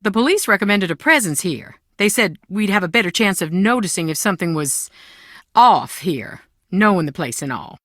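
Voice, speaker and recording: A woman's voice: mid-range voice, normal speed, dry recording, studio quality. An actress playing a proud, anxious middle-aged mother who is defensive and theatrical, in a video game. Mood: earnest, worried. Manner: defensive, theatrical, emotionally expressive. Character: proud, anxious Southern mother guarding family secrets.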